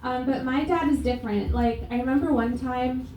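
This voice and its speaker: A young adult woman from Canada performing a stand-up set on a comedy club stage, deadpan and self-mocking with many fillers. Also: high-pitched, moderate pace, slight background noise. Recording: roomy live club sound from a distance.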